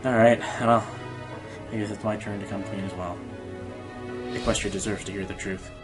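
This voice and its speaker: A man's voice: fairly low pitch, fairly even intonation, moderate pace, over music, lightly acted. A man voicing a nervous, self-doubting young pony broadcaster in an amateur radio play, rambling anxiously. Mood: resigned. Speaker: voice actor in an audio drama. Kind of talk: fan radio play acting.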